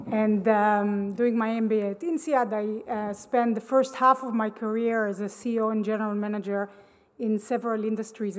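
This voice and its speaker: A middle-aged woman from Israel giving a conference talk, hesitant and full of ums and uhs, on a stage microphone. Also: mid-range voice. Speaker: conference speaker. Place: large conference hall. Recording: long hall reverb.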